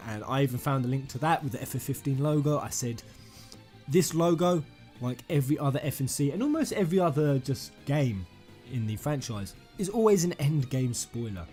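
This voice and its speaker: A man hosting a fan theory video, rambling and emphatic, addressing his viewers directly in an excited commentary style. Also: medium pitch, fairly quick. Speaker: gaming YouTuber, host. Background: music under the voice.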